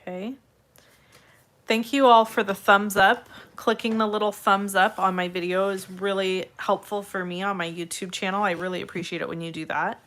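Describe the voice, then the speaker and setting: A woman with a slightly raspy, congested voice hosts a casual step-by-step craft tutorial, addressing viewers in a friendly, direct way. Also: mid-range voice, level tone, normal speed, fairly bright tone. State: congested, irritated throat from allergies.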